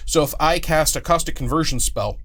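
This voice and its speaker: A middle-aged man on a studio couch, explaining game design ideas with quick enthusiasm. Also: on the high side, fairly bright tone. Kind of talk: casual explanatory chat. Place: small studio set.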